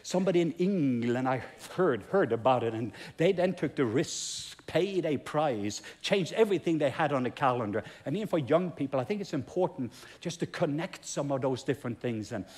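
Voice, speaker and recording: A man's voice: on the high side, animated, normal speed, few pauses, a little mellow. A Norwegian man, a pastor speaking English as his second language, tells a testimony in a sermon with emphatic, repeating build-ups. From Norway.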